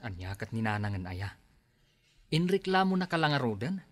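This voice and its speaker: A man playing an earnest young schoolteacher, an embarrassed son who pleads for calm, in a radio drama. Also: lowish voice, normal speed, no room sound. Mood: dismayed. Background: music.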